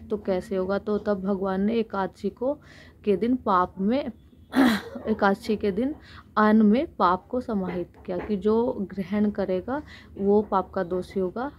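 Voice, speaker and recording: A woman's voice: medium pitch, lo-fi sound. Indian woman giving devotional teaching, noisy recording. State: sore throat with frequent throat clearing. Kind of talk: religious discourse, explanatory teaching.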